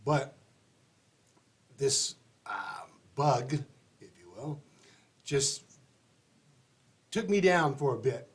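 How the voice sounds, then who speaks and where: A man's voice: mid-range voice, sing-song, extremely slow, pauses often. A man hosting an advice show, sounding congested and stuffy-nosed, talking in a rambling, chatty way with restarts. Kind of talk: casual livestream monologue.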